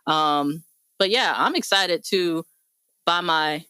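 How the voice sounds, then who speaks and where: A woman's voice: fairly low pitch, slow, bright, forceful tone, smooth, no room sound. A woman hosting a casual discussion show, chatting in an effusive, appreciative way.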